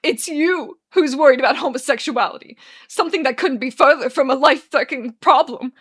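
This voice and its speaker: Woman acting an anguished, furious young man, high-pitched. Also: huge pitch swings, a little fast, gravelly, bright, forceful tone, slightly rough, exaggerated voice. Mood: weeping. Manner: explosive, wailing, sweary outbursts.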